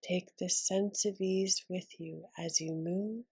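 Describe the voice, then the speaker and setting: Woman doing guided meditation, super slow and soothing, breathy half-whispered voice. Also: fairly low pitch, steady tone, a little mellow. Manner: soothing, slow with long pauses.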